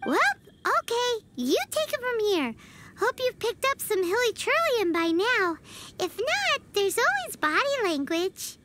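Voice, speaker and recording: A woman voicing a tiny, cheeky, childlike female fairy companion in a video game, squeaky and bluntly exaggerated. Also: big pitch swings, unhurried, very noisy, heavily acted. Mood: chatty. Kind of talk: English video game voice acting.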